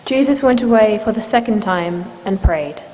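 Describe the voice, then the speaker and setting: A woman narrating scripture in a measured, formal storytelling style. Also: medium pitch, animated, warm tone. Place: church. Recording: reverberant church hall, background noise.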